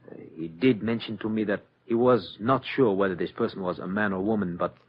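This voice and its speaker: Formal Turkish intelligence aide, dull recording. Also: male voice, lowish voice, husky. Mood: formal, guarded. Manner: formal, measured, avoids contractions. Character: formal, careful male Turkish intelligence assistant. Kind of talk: 1950s radio drama acting. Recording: dull, noisy vintage radio recording.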